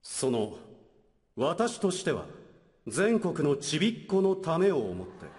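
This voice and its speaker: An actor playing a stiff, proud prosecutor in a staged game skit, formal and pompous with halting phrasing. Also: male voice, a little high, big pitch swings, a little mellow. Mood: flustered, haughty. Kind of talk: live stage skit acting. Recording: echoey hall, noisy background, clicks.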